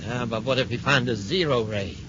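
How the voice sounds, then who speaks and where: A man's voice: medium pitch, a little fast, natural voice. An actor playing an anxious, deferential henchman pleading with his boss in a 1950s radio serial. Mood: uneasy. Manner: pleading, placating. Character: anxious male henchman, deferential, defensive underling. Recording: dull, faintly noisy 1950s radio recording.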